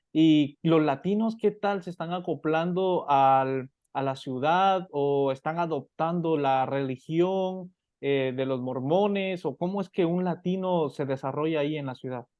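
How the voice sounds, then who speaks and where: A male radio host with a somewhat raised pitch leads an interview, affirming his guest and asking long, meandering questions. The sound is a bit muffled and dry, with no room echo. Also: a little mellow. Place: radio studio.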